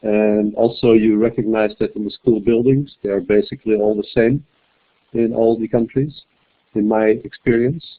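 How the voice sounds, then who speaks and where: A man speaking as a webinar panelist in a measured way, often restarting his sentences. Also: fairly low pitch, fairly even intonation. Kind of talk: webinar discussion. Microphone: computer mic on a web call. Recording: tinny phone-like web call audio.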